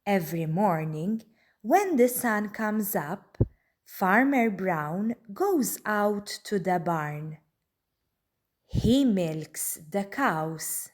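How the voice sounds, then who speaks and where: A woman reading a children's storybook aloud in a deliberate, expressive way. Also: mid-range voice. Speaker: children's book reader. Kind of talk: read-aloud storybook narration.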